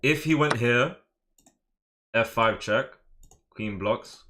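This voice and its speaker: A man streaming live chess, talking through his moves in a casual, slangy, excitable way and chatting with viewers. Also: mid-range voice, slow, noisy background. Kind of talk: casual live game commentary.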